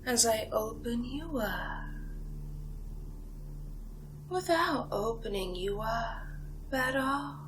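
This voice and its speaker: A woman playing a seductive, menacing vampire in an ASMR horror roleplay, speaking in a breathy, drawn-out half-whisper with hypnotic, teasing menace. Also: on the high side, lively intonation, fairly bright tone, a little hiss or hum, rough recording. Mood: hypnotic, predatory.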